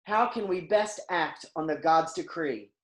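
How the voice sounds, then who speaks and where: A woman playing a concerned, conciliatory chorus elder in a Greek tragedy reading, speaking in a measured, reasoning way. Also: sits low. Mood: calming, urgent. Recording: roomy, echoing sound.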